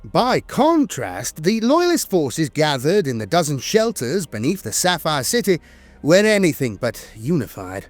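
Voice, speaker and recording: A man reading dramatic scripted lore narration in a theatrical, deliberate way with weighty emphasis. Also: fairly high pitch, huge pitch swings, no room sound. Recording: music bed behind a dry voice. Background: music.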